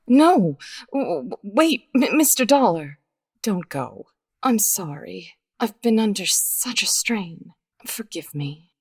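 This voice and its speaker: An actress playing a guarded, weary 1950s widow in a radio mystery, with a hesitant, melodramatic delivery. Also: female voice, mid-range voice, sing-song, slow, darkish tone, breathy edge, exaggerated voice. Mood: pleading. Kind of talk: 1950s radio drama acting.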